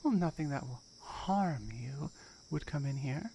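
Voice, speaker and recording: A woman's voice: deep voice, animated, measured pace, vocal fry, warm tone, a little hiss or hum, exaggerated voice. A woman playing a firm, dominant tiger girl in an ASMR roleplay, wry and matter-of-fact as she guards her jungle territory.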